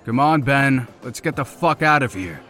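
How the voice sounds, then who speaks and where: An actor playing a cocky, abrasive young man in an audio drama, dismissive, sarcastic and quick to sulk. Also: medium pitch, expressive, pushed and bright. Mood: sulky, irritated.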